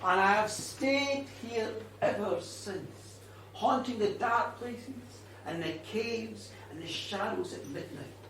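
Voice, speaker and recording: Brief: male storyteller doing a grieving ghost woman, high voice, distant roomy sound. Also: takes it slowly, a bit bright, heavily acted. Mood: mournful. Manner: mournful, solemn first-person telling. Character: vengeful female ghost, centuries old. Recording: roomy and distant, faint noise.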